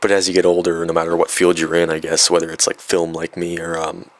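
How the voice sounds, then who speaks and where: A young man with a low, somewhat raspy voice, worn down by a cold. He talks off the cuff about himself, rambling and poking fun at himself. Plenty of ums and uhs along the way. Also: completely flat delivery, quick pace, dry recording. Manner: rambling, filler-heavy, self-mocking. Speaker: video creator. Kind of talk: unscripted vlog.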